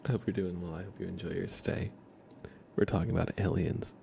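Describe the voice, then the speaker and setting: A soft-spoken man hosting an ASMR livestream chat, hesitant and often slipping into whispers. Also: deep voice, little pitch movement, a little fast, creaky, slight background noise. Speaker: livestream host.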